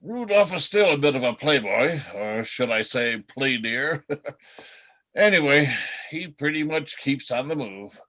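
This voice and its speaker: Folksy male reindeer, acted by a man, swooping pitch. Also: expressive, measured pace, pushed and bright. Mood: jovial, wry. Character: jovial, affectionate male reindeer, husband and father. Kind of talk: reader's theatre radio play acting.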